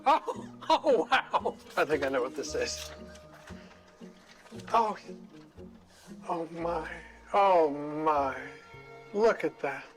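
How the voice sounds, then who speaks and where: A man's voice: fairly high pitch, very animated, drawn-out words, long pauses, faint music behind, heavily acted, rough recording. A man acting in a comedy skit as an awestruck, grateful fellow with a theatrically reverent manner. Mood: awed delight. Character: overjoyed, awestruck man solemnly grateful for a delivery.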